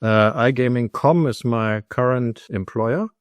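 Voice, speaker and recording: A middle-aged man with a German accent speaks at a mid-range pitch, calm and measured, laying out his points in full sentences. The recording is close and dry, with no room around the voice. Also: dry recording. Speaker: guest, company executive and lecturer. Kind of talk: conversational podcast interview.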